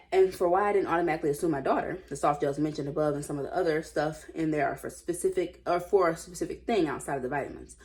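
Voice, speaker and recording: A woman's voice: sits low, a little mellow. A woman reading stories aloud casually, breaking off for playful, slangy, off-the-cuff reactions. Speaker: host reading Reddit stories. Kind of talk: casual read-aloud with chatty off-the-cuff commentary.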